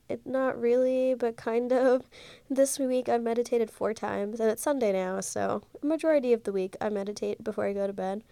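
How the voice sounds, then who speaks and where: A young woman in her twenties hosts a casual solo podcast while stuffed up, her voice a little nasal from congestion. She chats loosely with lots of "like" and "um," in a somewhat high, soft, mellow tone. The sound is dry and close, with no room echo, from a mic she holds in her hand. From Ohio, USA. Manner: chatty, rambling, many fillers like "like" and "um". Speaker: podcast host. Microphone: handheld mic.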